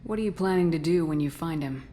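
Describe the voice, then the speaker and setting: A woman playing a steady, tough military commander in a video game, direct and controlled as she asks questions. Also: low voice, quick pace, a little hiss or hum, close to an everyday voice. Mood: concerned, firm. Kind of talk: video game dialogue acting.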